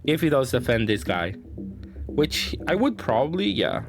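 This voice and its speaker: A man's voice: medium pitch, sing-song, moderate pace, gravelly, soft background music. A man giving casual card game commentary, thinking aloud and hedging his choices as he plays.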